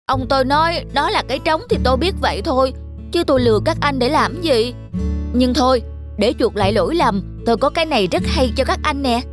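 A high voice from a woman brings a sly cartoon farm dog to life. The dog is loyal and clever, wheedling with a show of innocence. Music plays clearly behind it. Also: a little fast, lightly acted. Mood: innocent, persuasive. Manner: feigned innocence, coaxing. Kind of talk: children's cartoon fable dialogue. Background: background music.

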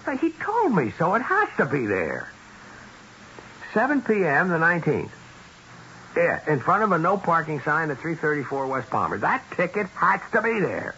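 An actor playing an earnest, insistent, principled police detective in a 1970s radio drama, speaking plainly. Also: male voice, fairly high pitch, wildly swooping pitch, normal speed, pushed and bright, heavily acted. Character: dogged, principled police detective, a man standing up for victims. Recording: dull, hissy 1970s radio broadcast.

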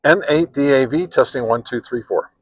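A man's voice: medium pitch, husky. Older man rambling through a radio test. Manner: relaxed, halting, with frequent pauses and restarts; counts off radio test calls in a steady cadence. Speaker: ham radio channel host. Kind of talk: casual radio-testing vlog.

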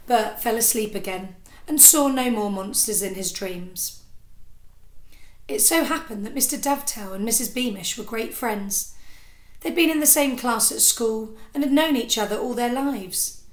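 A woman in her thirties reading a children's story aloud with expressive narration, like a teacher, into a device at arm's length indoors. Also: fairly high pitch, normal speed. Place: small indoor room with sofa. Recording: echoey room.

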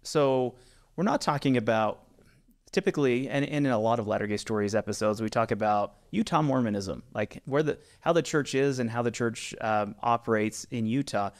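Upbeat podcast host, studio mic. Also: male voice, medium pitch, moderate pace. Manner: upbeat, with frequent "uh" fillers and restarts. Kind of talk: casual podcast interview. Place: small studio with brick wall, indoors. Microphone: studio mic on boom arm.

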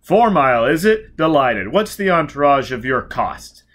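A man's voice: mid-range voice, big pitch swings, moderate pace, a bit bright, voice pushed up. A man voicing a blustering, pompous male aristocrat who exclaims in amused astonishment, read from a novel. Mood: astonished.

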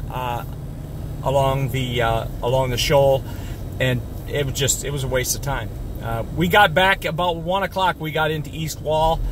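A man, a fishing guide, gives a casual and rambling fishing report, full of fillers and restarts. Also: fairly high pitch. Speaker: fishing guide and tournament angler. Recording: outdoor recording with noticeable background noise.

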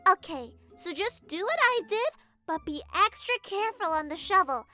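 Very high, bright, childlike kitten. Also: female voice, animated, normal speed, faint music behind, full character voice. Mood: sweet, chatty. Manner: childlike, cutesy. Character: tiny excitable, naive and sweet female kitten. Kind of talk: comic dub cartoon acting.

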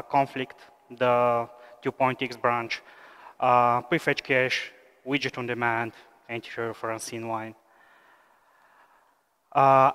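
A man in his thirties from Bulgaria, giving a technical conference talk with many "uh" fillers in a steady explanatory pace. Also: mid-range voice. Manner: frequent "uh" fillers, measured explanatory delivery. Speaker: conference speaker, software engineer. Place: large conference hall, at a lectern stage. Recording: smooth voice with long hall reverb.

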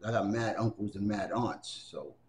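A man's voice: fairly low pitch, moderate pace, a little mellow, slight background noise. A man around sixty, born in Puerto Rico and raised in the Bronx, telling his story in an oral history interview with many fillers and restarts. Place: library room with bookshelves.

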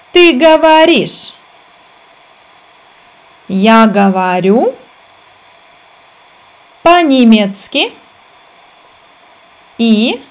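A woman in her thirties teaching a language, reading short phrases aloud and repeating them slowly, sometimes syllable by syllable, recorded indoors on a webcam. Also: a little high. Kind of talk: slow reading drill for learners.

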